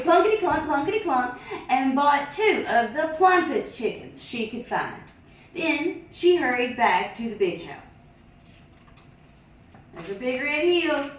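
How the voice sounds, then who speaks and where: A woman reading a picture book aloud as a storyteller, lively, with sing-song sound effects. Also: high-pitched. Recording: echoey room, distant mic.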